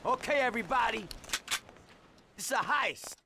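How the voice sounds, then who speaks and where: A man's voice: very high-pitched, expressive, normal speed, gravelly, heavily acted. A man playing a brash, cocky, menacing gangster robber in a video game, blunt and commanding. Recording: loud vehicle and ambient noise. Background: loud ambience and vehicle noise.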